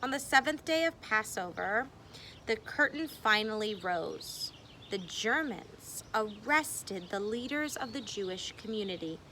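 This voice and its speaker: A woman reading a memoir aloud expressively, with deliberate phrasing and dramatic emphasis. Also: medium pitch, expressive, sharp tone, a little hiss or hum. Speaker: reader.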